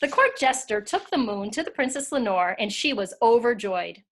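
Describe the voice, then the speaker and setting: A woman's voice: on the high side, animated, normal speed, a bit bright. A woman narrating a storybook tale as part of a scripted readers theater performance.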